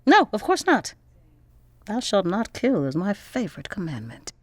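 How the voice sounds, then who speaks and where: An actress playing a proud, defiant young activist scholar with articulate, formal diction in an audio drama. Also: female voice, medium pitch, wildly swooping pitch, moderate pace, dry recording. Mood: indignant, defiant. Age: young adult.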